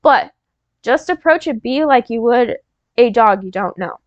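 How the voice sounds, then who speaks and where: A woman reading a picture book aloud as a teacher, pausing to prompt and encourage young listeners in an instructional way. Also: a little high, expressive, no room sound, lo-fi sound. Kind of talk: interactive read-aloud, storytelling with questions to young listeners.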